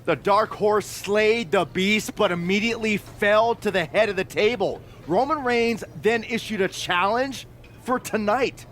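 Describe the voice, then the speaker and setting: An earnest wrestling show presenter in a game, polished and pitched high. There is faint noise behind the broadcast delivery. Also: male voice, a little slow, a bit bright.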